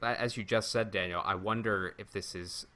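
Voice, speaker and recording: A man with a forceful, bright edge to his fairly low voice. He co-hosts a podcast and talks casually, choosing his phrases in a measured and thoughtful way. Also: fairly even intonation. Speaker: podcast co-host.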